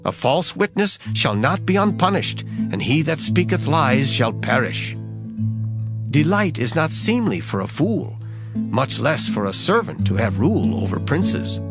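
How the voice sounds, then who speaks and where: A man giving a solemn, measured, expressive dramatized reading of scripture. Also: fairly high pitch. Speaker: scripture reader. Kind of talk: dramatized Bible audiobook reading. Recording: guitar music behind the voice. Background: plucked guitar music.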